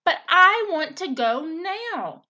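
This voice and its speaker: Woman voicing a moody young gosling boy, pitched up. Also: big pitch swings, takes it slowly, sharp tone, lo-fi sound, exaggerated voice. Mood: indignant. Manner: childlike, emotional, pleading and protesting. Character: young male gosling, moody, questioning, defiant, attached to his robot mother.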